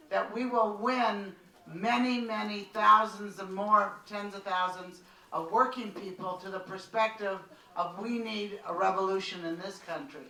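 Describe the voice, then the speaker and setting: A man in the audience of a panel, making an earnest, halting public comment full of restarts. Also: high-pitched, sharp tone. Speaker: audience member. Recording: roomy hall, mic held at a distance.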